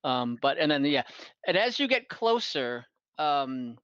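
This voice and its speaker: Bright-voiced man as halting game master. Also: on the high side, very animated, clean tone, no room sound, lo-fi sound. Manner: halting, rambling, self-correcting. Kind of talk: improvised narration of a tabletop fantasy game. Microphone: online voice call, close microphone.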